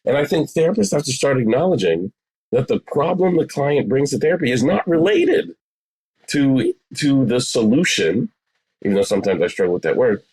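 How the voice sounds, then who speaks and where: A man's voice: medium pitch, sing-song, normal speed, darkish tone, husky, poor audio. A man in his forties explaining things conversationally as an interview guest, emphatic and repeating phrases for stress. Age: 40s. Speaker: psychotherapist, podcast guest.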